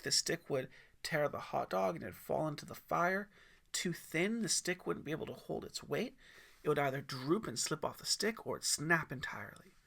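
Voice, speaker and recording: A man reads a story expressively, as an audiobook narrator would. His voice is bright and forceful, a bit high for a man, with a gravelly creak.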